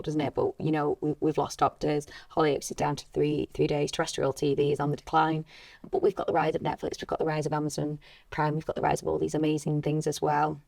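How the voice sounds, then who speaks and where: A woman's voice: low voice, creaky, darkish tone, no room sound. A British woman, an actor and coach, giving earnest, encouraging advice in a conversational interview.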